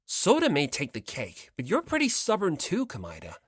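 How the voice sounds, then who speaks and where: A man's voice: fairly high pitch, wildly swooping pitch, normal speed, fairly bright tone, husky, dry recording, clean studio sound, heavily acted. A man voicing an irritable, defensive teenage boy with a snappish, impatient manner in an audiobook. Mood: irritated, snappish.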